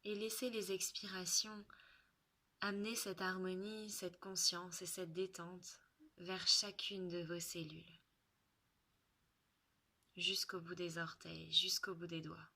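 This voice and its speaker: A woman guides a relaxation at a very slow, soothing pace, leaving long pauses. Her voice sits slightly low yet is clearly bright, with soft, breathy endings to her phrases. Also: flat delivery.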